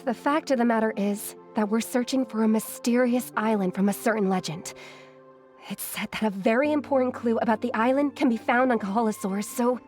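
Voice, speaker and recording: A woman's voice: on the high side, fairly quick, a little mellow, soft background music, lightly acted. A woman playing a patient, apologetic young female scholar in a video game, courteous and formal. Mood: explaining. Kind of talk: video game character acting.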